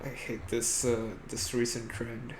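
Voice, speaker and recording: A man's voice: medium pitch, monotone, unhurried, a loud crowd. A man co-hosting a podcast, reacting in casual, wry, slangy banter with some swearing. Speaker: podcast co-host.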